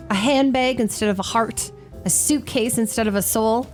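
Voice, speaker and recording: An actress playing a prim, sharp-tongued young single woman in a radio romantic comedy, stammering and indignant. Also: fairly high pitch, normal speed, faint music behind, lightly acted. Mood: cutting, scornful. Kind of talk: old-time radio romantic comedy acting.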